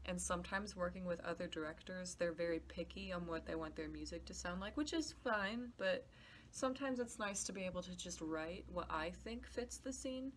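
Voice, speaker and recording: A woman's voice: on the low side, normal speed, a bit bright, slight background noise. A woman giving a casual behind-the-scenes walkthrough as a composer, explaining things in an informal, slightly hesitant way. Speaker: podcast composer. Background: music demo clips playing.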